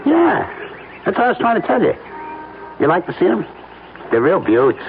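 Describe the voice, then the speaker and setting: An actor with an American accent playing a sleazy, falsely friendly blackmailing photographer in slangy, wheedling radio drama style. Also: male voice, sits high, wildly swooping pitch, moderate pace, heavily acted. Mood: sly, needling. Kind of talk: old-time radio drama acting. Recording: tinny, noisy old radio recording.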